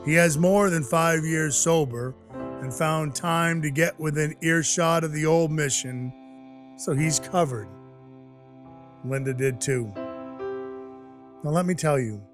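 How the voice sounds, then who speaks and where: A man playing a scruffy, apologetic Christmas dwarf in an audio drama, telling his story in a halting, folksy, sincere way. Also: a little high, close to an everyday voice. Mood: reflective, folksy. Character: male Christmas dwarf turned Santa, scruffy, apologetic, sentimental. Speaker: actor in an audio play.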